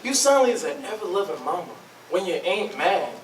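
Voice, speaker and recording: A man playing a smooth-talking, placating lover in a staged play, speaking coaxing Southern folk dialect. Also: high-pitched, very animated, normal speed. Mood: sweet-talking. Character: smooth-talking, placating male sweetheart, easily hurt. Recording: roomy, distant mic, noisy.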